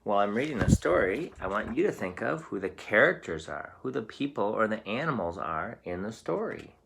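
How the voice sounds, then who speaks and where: Lowish-voiced man reading to young children. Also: sing-song, a little fast, a bit bright, a little hiss or hum. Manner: clear, simple explanations for young children. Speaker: teacher reading aloud to pupils. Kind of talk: children's storybook read-aloud.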